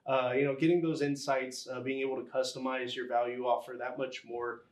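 A man answering interview questions as a podcast guest, explaining things conversationally and haltingly as he pieces thoughts together. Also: medium pitch, level tone. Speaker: podcast guest, engineering executive. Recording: roomy, echoing sound.

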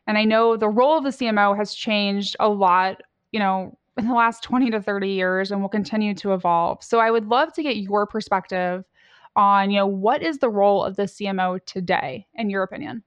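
Smooth-voiced woman podcast host. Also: medium pitch, brisk, no echo. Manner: chatty, relaxed, asks open questions. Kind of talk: conversational interview.

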